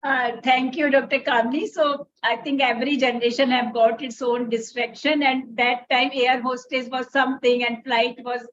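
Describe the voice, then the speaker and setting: A woman with an Indian accent hosts an interview in a fairly high voice. She reflects at length in halting, wordy phrases. The recording is somewhat dull and lo-fi. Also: steady tone, a bit bright. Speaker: interview host, doctor. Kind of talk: conversational interview, reflective commentary. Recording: dull, lo-fi audio.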